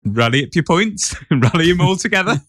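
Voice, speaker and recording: A man's voice: fairly high pitch, lively intonation, a little fast, a bit bright, no room sound. A man co-hosting a podcast and running its quiz in a casual way. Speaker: podcast co-host and quizmaster.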